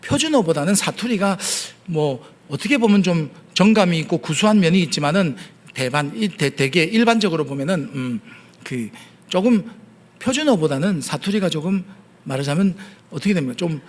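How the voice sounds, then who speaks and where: A male pastor with a Gyeongsang accent and a fairly high voice delivers a sermon in a church. He talks earnestly and patiently, often stopping on hesitation sounds as he explains a point. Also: expressive, normal speed. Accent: Gyeongsang Korean. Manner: earnest preaching with frequent hesitation fillers. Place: church sanctuary.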